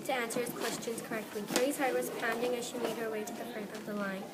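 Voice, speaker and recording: A woman's voice: a little high, flat delivery, fast, heavy background noise, natural voice. A female reader narrating a storybook play from a script. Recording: loud room chatter behind.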